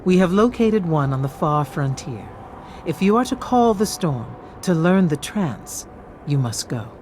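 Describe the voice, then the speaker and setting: An actress playing a wise, commanding warlock mentor in a video game, solemn, measured and authoritative. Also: female voice, low-pitched, sing-song, darkish tone, slight background noise. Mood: solemn, intense. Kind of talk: cinematic game voice acting. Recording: voice over cinematic music.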